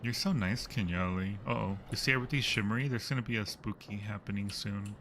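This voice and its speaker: A man's voice: lowish voice, fast talker, noisy background. A man casually commentating a horror game as a live streamer, joking and muttering to himself in a playful way.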